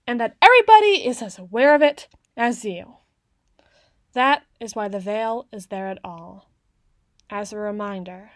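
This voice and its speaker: A woman playing an awkward, bookish tsundere young woman in an ASMR roleplay, speaking haltingly and wordily to the listener. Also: a little high, no echo, studio quality. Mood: solemn, introspective. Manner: stammering, halting, wordy and bookish in phrasing.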